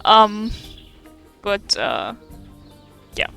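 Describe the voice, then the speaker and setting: Halting German woman, slow-paced gamer chat. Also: mid-range voice, big pitch swings, gravelly, a bit bright, clear, resonant tone. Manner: halting, searching for words, self-deprecating. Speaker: gaming video creator and streamer. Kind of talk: casual chat over gameplay. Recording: faint game music behind.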